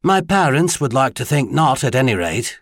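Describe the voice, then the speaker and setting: A man voicing a charming, gallant, faintly impish gentleman with a tenor voice, in audiobook dialogue. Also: medium pitch, very animated, moderate pace, no room sound, clean studio sound. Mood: gallant, amused. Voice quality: pleasant tenor. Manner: gallant, courtly, polite.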